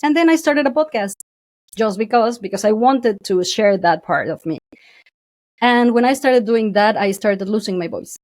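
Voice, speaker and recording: A woman's voice: mid-range voice, animated, moderate pace, darkish tone, no echo. A woman voice coach, a guest on a podcast, telling her story candidly. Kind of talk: interview.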